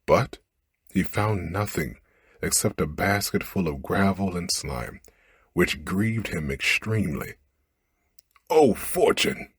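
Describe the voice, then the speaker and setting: A man with a low, very breathy voice narrates a bedtime story in ASMR style. His reading is expressive, with wide melodic movement. It is recorded close to the microphone. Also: measured pace, no echo.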